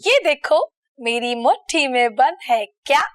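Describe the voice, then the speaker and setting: A woman's voice: high-pitched, animated, unhurried, fairly bright tone. A dramatic, self-pitying young woman. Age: young adult. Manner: theatrical, self-pitying, chatty.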